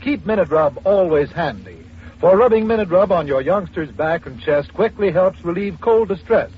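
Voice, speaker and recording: High-pitched male vintage radio pitchman. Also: lively intonation, normal speed, breathy edge. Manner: formal, polished selling delivery. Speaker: commercial announcer. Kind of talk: 1940s radio advertising read, scripted. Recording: muffled old radio, faint hiss.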